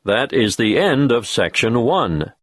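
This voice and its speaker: Man, exam announcer, formal, measured, slow, lively intonation. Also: mid-range voice, dry recording, studio quality. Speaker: exam narrator. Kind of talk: scripted test instructions.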